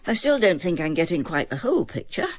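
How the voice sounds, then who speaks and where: An actress playing a shrewd, caring older woman in a radio drama who questions a young man in a measured, gently firm way. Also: lowish voice, big pitch swings. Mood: probing.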